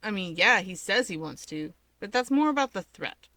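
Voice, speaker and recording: A woman's voice: medium pitch, expressive, moderate pace, bright, forceful tone, no room sound. A woman voicing an easygoing young man who explains things in a breezy, dismissive and cheerfully nonchalant way. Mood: casual, reassuring.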